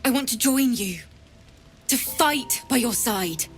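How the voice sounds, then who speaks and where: Young female bard for a fantasy game: lightly high voice, unhurried, hesitant, earnest and pleading, over music. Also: animated. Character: earnest, timid young female bard, eager to prove herself. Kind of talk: fantasy video game character acting. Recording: music behind the voice.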